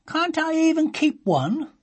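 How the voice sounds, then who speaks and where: A woman's voice: high voice, big pitch swings, a little slow, darkish tone, pitched above the performer's own voice. A woman doing a stubborn little girl. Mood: pleading. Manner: earnest, stubborn, sulky when thwarted. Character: determined little girl who wants a farm.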